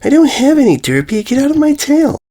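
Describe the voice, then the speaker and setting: Man doing a high, indignant little unicorn filly. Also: big pitch swings, brisk, mellow. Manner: indignant, emphatic. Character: stubborn, defensive young unicorn filly, proud of her house.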